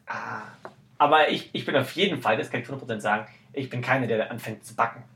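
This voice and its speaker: A man's voice: mid-range voice, fast, fairly bright tone. Casual man hosting a podcast. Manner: informal, slangy, bantering. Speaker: podcast host.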